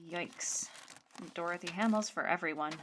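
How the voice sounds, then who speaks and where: A woman's voice: lowish voice, moderate pace, fairly bright tone, a little hiss or hum, lightly acted. A woman playing a wry, understated teenage schoolgirl in an audio drama. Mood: deadpan. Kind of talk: scripted audio drama dialogue.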